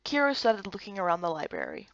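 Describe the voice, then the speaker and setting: A woman's voice: lowish voice, huge pitch swings, fast, vocal fry, dry recording, muffled recording. A woman reading scripted story narration from a fantasy visual novel game. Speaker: narrator and voice performer.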